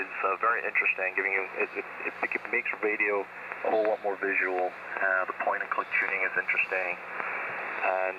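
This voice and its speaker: A man's voice: deep voice, dull audio. A man calling in over amateur radio, chatting in a polite, hesitant, conversational way through a shortwave signal. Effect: heard over shortwave radio. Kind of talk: casual ham radio chat. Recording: noisy, band-limited shortwave radio signal. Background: radio static.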